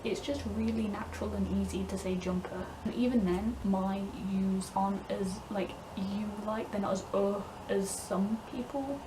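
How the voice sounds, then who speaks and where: A woman's voice: medium pitch, normal speed, hardly any pauses, noisy background. Young English woman with a Midlands-northern accent, casual vlogger rambling and laughing, recorded a bit far off with audible ambience. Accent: English Midlands-northern with southern vowels. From England, north West Midlands. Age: young adult. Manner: chatty, laughs often, frequent "like". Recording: distant mic, clear room ambience.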